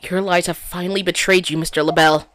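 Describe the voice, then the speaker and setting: A woman's voice: lowish voice, fairly even intonation, fast, fairly bright tone, a little hiss or hum, pitched below the performer's own voice, close to an everyday voice. A woman voicing an earnest young male defense attorney who presses a witness. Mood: pressing. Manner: pressing, accusing.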